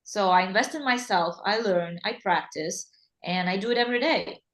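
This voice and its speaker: A woman's voice: mid-range voice, moderate pace, fairly bright tone. A woman with a Russian accent hosting an interview in English, chatty and enthusiastic. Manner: enthusiastic, chatty, laughs easily. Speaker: interview host and English teacher. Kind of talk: casual interview chat.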